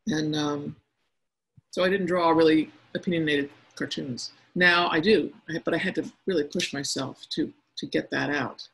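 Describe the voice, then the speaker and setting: A middle-aged woman with a quiet, soft-spoken manner, speaking over a video conference call. Her voice has a gravelly edge and a husky grain. She talks casually and thoughtfully, pausing often to gather her words. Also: sits low, fairly even intonation, low-quality recording. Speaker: cartoonist speaking to young listeners. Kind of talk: casual reflective talk answering questions.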